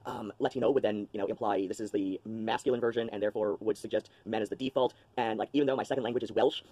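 A man's voice: on the low side, fairly even intonation, fast, dark tone, husky. Rambling man chatting on a webcam. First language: English. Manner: rambling, many ums and restarts. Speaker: vlogger. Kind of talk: casual unboxing chat. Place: small cluttered room at home, indoors.

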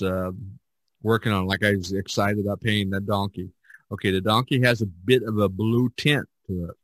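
A man hosting a podcast, chatting casually and haltingly, often repeating words. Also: lowish voice, fairly bright tone, no echo. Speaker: podcast host. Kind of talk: casual chat.

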